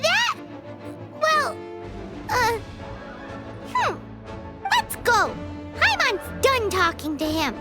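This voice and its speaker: Tiny floating fairy girl, squeaky, very high voice, slow lively delivery, game music behind. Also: heavily acted. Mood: flustered. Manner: childlike, squeaky, excitable. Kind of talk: video game voice acting.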